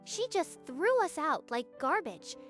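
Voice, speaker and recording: A woman voicing an excitable, outspoken young woman in a manga dub, very expressive. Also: high voice, measured pace, soft background music, heavily acted. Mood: indignant. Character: indignant, excitable young female relative of student.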